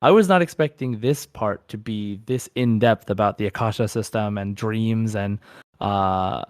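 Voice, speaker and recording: A man's voice: lowish voice, unhurried, no room sound, studio quality. A man chatting casually as a podcast co-host about games, in an enthusiastic, rambling way. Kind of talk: casual gaming podcast chat.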